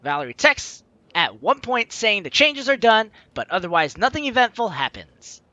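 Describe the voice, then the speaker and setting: A young man plays through a story game with bright, forceful energy. His pitch swings widely as he chats playfully and reads out lines dramatically, with music running behind him. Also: very animated, moderate pace, dry recording, lo-fi sound. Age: young adult. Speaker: let's player hosting a game series. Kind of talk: lively game commentary, reading dialogue aloud.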